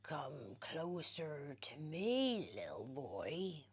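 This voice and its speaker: Woman voicing a creaky, slow little old man. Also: low-pitched, sing-song, pitched below the performer's own voice, exaggerated voice. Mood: eerie coaxing. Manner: drawn-out, breathy, half-whispered coaxing. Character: very small old man, mysterious, beckoning and eerie.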